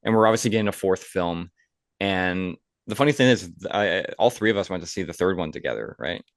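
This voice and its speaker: A man's voice: sits low, expressive, a little fast, dry recording, studio quality. A man co-hosting a podcast in casual chat, talking in a rambling way with emphatic opinions. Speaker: podcast co-host.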